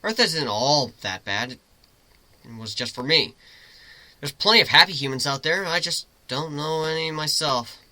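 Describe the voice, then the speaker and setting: Man voicing a bright, cocky young-sounding griffin. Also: a little high, lively intonation, unhurried, dry recording, full character voice. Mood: reassuring, joking. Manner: informal, teasing, rambling explanations. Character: cocky, joking male griffin, formerly a troubled human.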